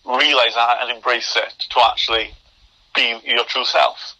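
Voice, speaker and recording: Young man, stammering speech, very bright sharp timbre, average pitch, remote call audio. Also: flat delivery, a little slow, rough recording. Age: young adult. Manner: stammers, with repeated starts and blocked words. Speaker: podcast guest. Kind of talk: interview conversation.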